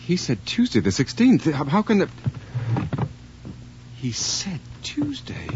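An obsessive, excitable married man, a radio tinkerer in an audio drama. His voice swings widely as he mutters and stammers. The recording is dull, with a faint hum. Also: on the high side, slow pace, heavily acted. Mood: muttering, puzzled. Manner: muttering to himself, stammering when agitated.

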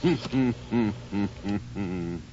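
An actor playing a doomed, sardonic husband who broods and schemes theatrically in a screen drama. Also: male voice, fairly low pitch, extremely slow, background noise. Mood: brooding, sardonic. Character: doomed, scheming, sardonic husband plotting revenge on his wife. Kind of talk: dramatic screen acting, brooding monologue and dialogue. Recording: muffled soundtrack under loud music.

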